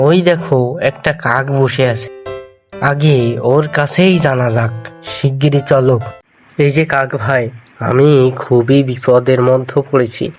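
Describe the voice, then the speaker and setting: A man acts a clever village pandit in a cartoon folk tale. The character is a fretful, talkative fellow with a mid-pitched voice, set over music. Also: natural voice. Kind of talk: cartoon voice acting.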